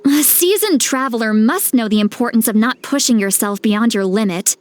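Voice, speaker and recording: A woman playing a caring, self-assured sage in a video game, with an assured, gently advising manner. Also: fairly high pitch, normal speed, no echo, natural voice. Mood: reassuring.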